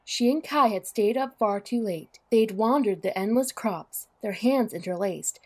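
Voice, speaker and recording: A woman's voice: medium pitch, unhurried. A woman narrator reading scripted story narration for an audio drama.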